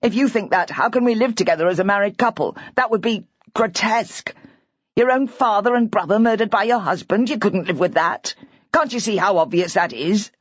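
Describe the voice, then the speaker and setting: A woman's voice: mid-range voice, expressive, fairly quick, dry recording, muffled recording, lightly acted. A woman voicing a distraught older husband whose words tumble out in a rambling, hysterical rush.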